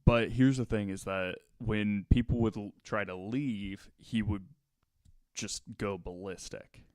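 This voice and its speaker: A man hosting a podcast, telling a story casually and haltingly, with conversational asides. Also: fairly low pitch, fairly even intonation, darkish tone, clean tone, dry recording.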